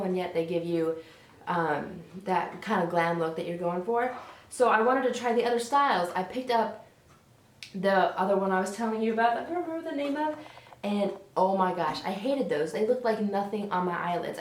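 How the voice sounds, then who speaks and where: Hoarse young woman chatting in an echoey room. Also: medium pitch, moderate pace. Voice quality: slightly raspy. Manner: chatty, frank about products she dislikes. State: hoarse, recovering from strep throat. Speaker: beauty vlogger. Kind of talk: casual product review to camera. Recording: roomy echo.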